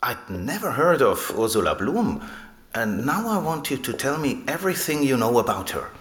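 A man's voice: mid-range voice, very animated, moderate pace, a bit bright, slightly rough. A man acting in an audio drama as an erudite, enthusiastic abbey librarian, explaining things like an eager scholar. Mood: intrigued. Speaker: library guide and manuscript expert.

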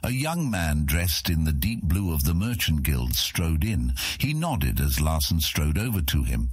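A man's voice: very deep voice, big pitch swings, unhurried, breathy edge, no echo. A man reading scripted dramatic narration for a fantasy audiobook.

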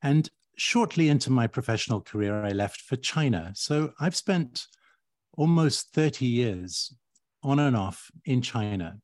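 A man's voice: medium pitch, animated, a little mellow, dry recording, clean studio sound. Reflective middle-aged male guest. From grew up in Uganda and Kenya. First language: Swahili. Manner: reflective, measured, with hedges. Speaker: guest architect. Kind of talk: podcast interview.

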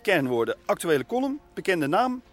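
Radio comedy: tactless, fumbling researcher, high-pitched and animated delivery. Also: male voice, moderate pace, soft background music. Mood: awkward, oblivious. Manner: hesitant, fumbling, blunt. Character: bumbling, tactless radio programme researcher, chatty man.

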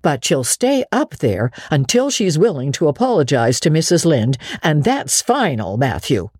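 A woman's voice: low-pitched, big pitch swings, unhurried, darkish tone, slightly rough, no echo, heavily acted. A woman voicing a stern, no-nonsense older country woman in a storybook, dry and scolding. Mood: indignant.

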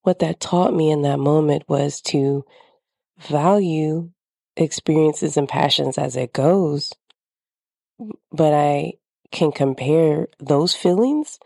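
A woman's voice: sits low, creaky, mellow, breathy edge, no echo, studio quality. A woman podcast host with a slightly congested, nasal voice, talking candidly in a reflective personal monologue.

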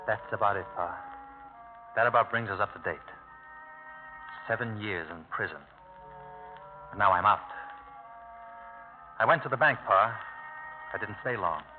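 An actor playing a hard, brooding ex-convict son in a radio drama, terse and sardonic. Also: male voice, on the low side, lightly acted. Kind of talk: 1950s radio drama acting. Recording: narrow-band vintage radio recording with music.

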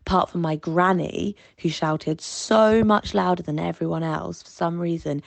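A British woman with a low voice tells anecdotes casually as a guest. There is a noticeable creak in her speech, and the recording is dry. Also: fairly even intonation, measured pace, dry recording. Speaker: guest contributor.

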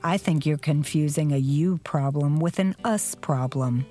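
A low, sardonic crime magnate. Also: female voice, measured pace, mellow, soft background music. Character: dry, disdainful female criminal magnate. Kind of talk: audio drama acting.

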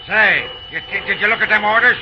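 A folksy, cautious railroad fireman, acted by a man talks slangily in a very high, excitable voice. It is old-time radio drama acting, on a muffled recording with clear noise. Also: fairly quick, close to an everyday voice. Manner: colloquial, excitable.

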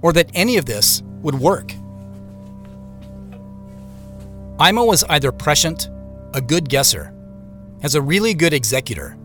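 Audio drama lead, male actor: introspective, determined male sleuth narrating deliberately, slightly raised pitch with very varied intonation. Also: sing-song, fairly bright tone, faint music behind. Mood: pondering. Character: inquisitive male amateur investigator, reflective and determined. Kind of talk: first-person scripted audio drama narration.

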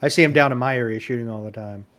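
A man's voice: mid-range voice, racing, fairly bright tone. A man in his fifties with an American accent, chatting casually. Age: 50s. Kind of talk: casual chat.

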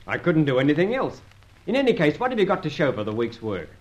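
Radio villain: bullying crooked store detective, animated intonation, echoing room sound. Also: male voice, on the high side, fast talker. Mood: irritated, bullying. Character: nasty, bullying male shop detective and crook. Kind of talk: old-time radio serial acting. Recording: echoey room, heard at a distance.

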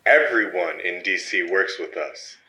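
Brief: male actor as a cool, condescending operative in an audio drama, tense bright tone, roomy sound. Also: sits low, a little slow, exaggerated voice. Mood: smug. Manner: calm, dismissive, condescending. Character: cold secretive male code-editing power agent.

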